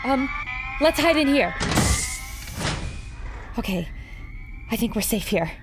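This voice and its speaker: A woman's voice: a little high, expressive, slow pace, over loud music. An actress playing a plucky, bold woman reporter with an earnest, theatrical delivery in a pulp adventure audio drama. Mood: urgent, determined.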